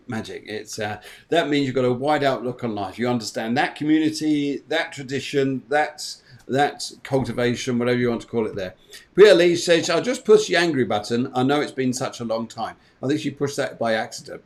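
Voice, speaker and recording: Male host, chatty and jovial, for livestream morning chat; repeats his phrases, medium-pitched voice. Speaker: show host.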